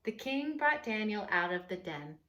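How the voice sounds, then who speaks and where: A woman reading a children's storybook aloud expressively, with dramatic pauses and chatty asides to her listeners. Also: medium pitch, sing-song, bright, forceful tone.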